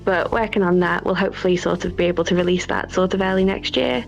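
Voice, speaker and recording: A woman with a fairly low, creaky voice chats casually in an interview. She is modest and a little rambling, on a slightly muffled call with music behind. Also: steady tone, fast. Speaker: guest game developer.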